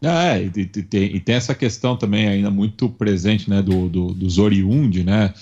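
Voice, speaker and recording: A man from São Paulo with a Paulistano accent, talking in a halting way full of fillers as a podcast co-host in a casual panel chat. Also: lowish voice, dark tone, lo-fi sound. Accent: Paulistano Brazilian Portuguese. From São Paulo, Brazil. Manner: halting, many fillers and restarts.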